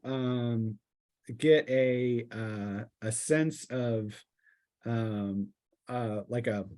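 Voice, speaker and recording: A man narrating a tabletop roleplaying game as game master, improvising in a halting way with pauses mid-sentence. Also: medium pitch, darkish tone.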